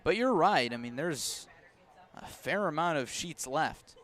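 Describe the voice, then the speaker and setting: A man's voice: on the high side, expressive, unhurried, fairly bright tone. American man calling baseball play-by-play. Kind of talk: live radio baseball commentary with conversational asides. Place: outdoors at a ballpark.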